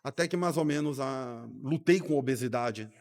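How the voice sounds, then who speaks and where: A man's voice: mid-range voice, normal speed, darkish tone. A man, a doctor speaking earnestly and reflectively as an interview guest in a studio. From Rio de Janeiro, Brazil. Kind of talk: casual interview conversation.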